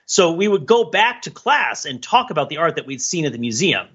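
A man's voice: a little high, sing-song, a little fast, a bit bright, slightly rough, lo-fi sound. An American man co-hosting a podcast, talking casually in a discursive, analytical way and telling personal anecdotes. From New Jersey, United States. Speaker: podcast co-host.

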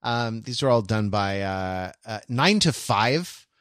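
A man in his forties chatting casually as a podcast co-host, with a halting, rambling delivery. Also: lowish voice, animated, fairly bright tone, no echo, clean studio sound. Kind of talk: casual chat.

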